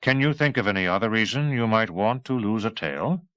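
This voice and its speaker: A calm army colonel in an audiobook novel, voiced by a male narrator. He speaks low and dryly, with measured, diplomatic tact. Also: highs cut off. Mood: dryly probing.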